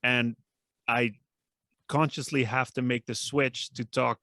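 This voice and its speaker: Gravelly man, relaxed. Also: mid-range voice, takes it slowly, no room sound, studio quality. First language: Dutch. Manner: relaxed storytelling with laughs. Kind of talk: casual chat.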